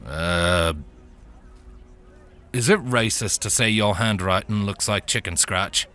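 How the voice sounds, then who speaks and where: A man's voice: fairly low pitch, takes it slowly, bright, forceful tone. A drowsy, slouching male guard from a fantasy storybook, put on by a male narrator. He drawls with boredom and hesitates as he talks, sounding barely interested. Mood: blunt, puzzled.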